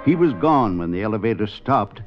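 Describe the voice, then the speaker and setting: An actor narrating a 1950s radio suspense drama in a wry, measured storytelling manner. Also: male voice, fairly low pitch, very animated. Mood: measured, suspenseful. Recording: dull 1950s radio recording. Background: dramatic music.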